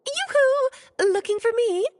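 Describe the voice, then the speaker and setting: A woman's voice: very high-pitched, lively intonation, slow, very noisy, full character voice. A woman voicing a playful, mischievous, self-assured witch in a video game, with a teasing, coy manner.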